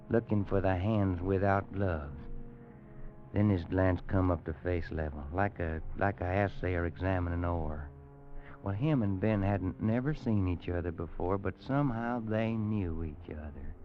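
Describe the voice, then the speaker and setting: An actor playing an older, plainspoken cowboy who narrates a radio western in a folksy drawl with slow, dramatic pauses. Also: male voice, low voice, lightly acted. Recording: muffled old-time radio recording.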